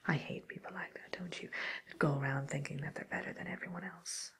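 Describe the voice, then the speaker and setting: A woman playing a gossipy, mildly menacing witch in a whispered ASMR roleplay, speaking in a breathy, hesitant, musing way. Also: sits low, steady tone, a little fast. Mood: gossipy, disdainful. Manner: hesitant, musing, softly sly.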